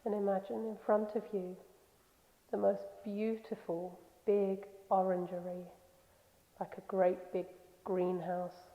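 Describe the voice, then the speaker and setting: A woman leading a guided meditation in a soothing, gentle, unhurried manner with pauses. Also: mid-range voice, little pitch movement. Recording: echoey long reverb, slightly dull sound.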